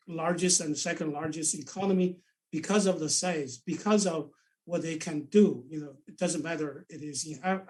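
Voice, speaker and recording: Man, Chinese accent, non-native English, earnest panel guest, repeats words, a bit high-pitched, heard from a distance. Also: darkish tone. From China. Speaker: senior advisor, panel guest. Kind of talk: conversational panel discussion. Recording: voice set back from the mic.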